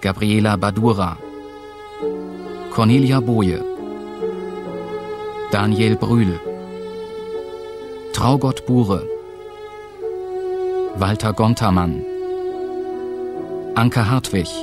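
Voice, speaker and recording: Very slow, low male announcer. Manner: formal, listing names with pauses. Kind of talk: radio play credits, scripted announcement. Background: string music.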